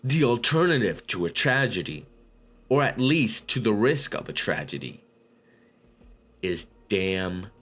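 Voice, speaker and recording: A man's voice: fairly low pitch, sing-song, unhurried, long pauses, fairly bright tone. A man reading a book aloud as a read-along host, with informal, slangy commentary. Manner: profane, informal asides with bro slang.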